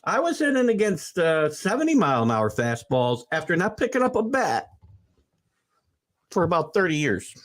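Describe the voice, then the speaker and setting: Rambling, animated man in his sixties. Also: a little high, normal speed. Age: 60s. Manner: rambling, opinionated, many fillers and restarts; animated, rising into emphatic rants. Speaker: sports talk show host. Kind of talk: livestream chat reading viewer comments.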